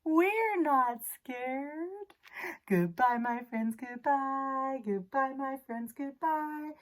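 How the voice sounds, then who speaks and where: A woman telling a children's picture-book story in an expressive, theatrical way, drawing out words for young listeners. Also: high voice, extremely slow. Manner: addresses young listeners directly. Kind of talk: animated children's story time, chanted picture-book reading.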